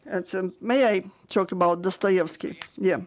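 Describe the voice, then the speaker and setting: Slow, halting woman interpreter. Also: lowish voice. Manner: halting, searching for words, frequent restarts. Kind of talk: live interpretation of a panel discussion.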